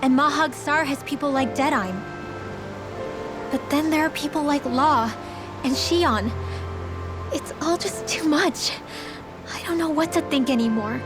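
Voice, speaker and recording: A woman playing a soft-hearted, troubled teenage girl in a fantasy video game, hesitant and introspective. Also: high-pitched, a little slow, pauses often, over music. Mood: troubled, conflicted. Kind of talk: video game cutscene dubbing.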